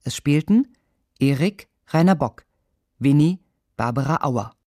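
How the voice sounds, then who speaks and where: Radio announcer reading out credits, super slow, really dry studio sound. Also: mid-range voice, lively intonation, darkish tone, no room sound.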